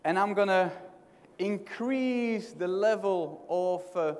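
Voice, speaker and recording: A man's voice: high voice, slow pace. A middle-aged man with a Dutch accent giving a conversational keynote talk, often restarting phrases and asking the audience questions. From Netherlands. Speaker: conference speaker. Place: large hotel conference hall. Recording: hall reverb.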